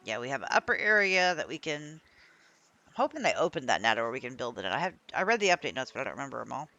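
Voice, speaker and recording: A woman's voice: extremely low pitch, very animated, quick pace, pushed and bright, dull audio, faint music behind. A woman giving casual commentary as she plays a video game, thinking aloud and reading out menu items. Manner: thinks aloud while playing, reads menus. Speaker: gameplay streamer.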